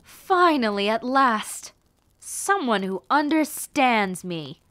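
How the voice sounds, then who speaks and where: A woman playing a bitter, haughty, scheming rebel warlord princess in a scripted roleplay, speaking formally and deliberately with theatrical delivery. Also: fairly high pitch, very animated, a bit bright, dry recording, full character voice. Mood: softened, relieved. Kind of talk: scripted roleplay monologue to the listener.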